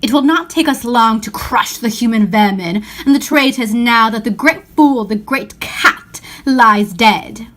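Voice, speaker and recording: A woman's voice: a little high, unhurried, hardly any pauses, a bit bright. A woman voicing a cruel, triumphant witch from a storybook, commanding and contemptuous. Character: triumphant, cruel witch rallying her army.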